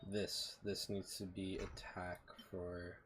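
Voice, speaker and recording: A man's voice: deep voice, level tone, slow pace. A man doing casual gameplay commentary as a streamer, muttering to himself while he plays. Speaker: game streamer. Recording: noisy mic with keyboard clicks. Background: typing, mouse clicks, background noise.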